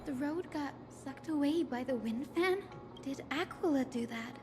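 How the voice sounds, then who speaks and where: Woman as gentle game girl, high voice, unhurried, curious, music behind. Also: natural voice. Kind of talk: scripted video game voice acting.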